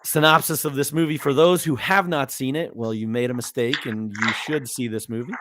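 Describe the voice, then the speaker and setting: A slightly hoarse man hosting a casual movie podcast, rambling easily with lots of fillers and restarts. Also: mid-range voice, fairly even intonation, fairly quick, noisy background. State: a little hoarse. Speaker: podcast host.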